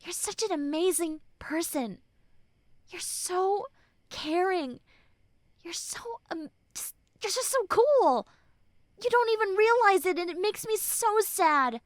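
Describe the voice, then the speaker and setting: High-voiced, slow-talking tender tsundere girl played by a woman. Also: animated, no echo, clean studio sound, heavily acted. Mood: tender, comforting, then flustered, caring. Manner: earnest, hesitant, soft, often dropping to breathy near-whispers. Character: tsundere young woman, gruff friend turned tender and vulnerable comforter. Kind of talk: intimate roleplay audio acting.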